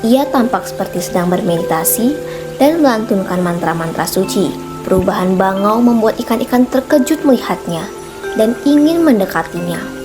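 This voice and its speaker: A woman's voice: medium pitch, expressive, moderate pace. Woman storyteller over prominent music. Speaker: narrator. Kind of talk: scripted storytelling narration.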